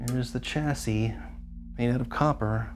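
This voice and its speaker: A man's voice: mid-range voice, a little slow, over music. A man giving a casual, hands-on workshop walkthrough in an enthusiastic, geeky, colloquial way. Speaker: DIY amp builder and host.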